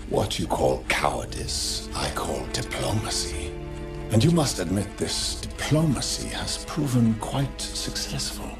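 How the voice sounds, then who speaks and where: A man's voice: lowish voice, wildly swooping pitch, slow pace, exaggerated voice. A man playing a cold, smug grand chancellor and army commander in a video game, speaking formally with condescending menace. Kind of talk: dramatic video game acting. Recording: echoey, with music behind.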